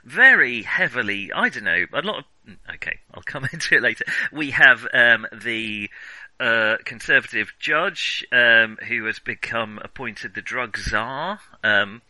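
Casting: male film podcast host, very bright and forward voice, halting and digressive, recorded dry and close. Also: mid-range voice, expressive, no room sound, poor audio. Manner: hesitant, rambling, self-interrupting. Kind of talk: casual film discussion.

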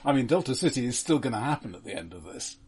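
A man co-hosting a podcast, recapping a film in a halting way with many restarts. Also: medium pitch, sing-song, darkish tone, breathy edge. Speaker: podcast co-host. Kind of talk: casual film discussion.

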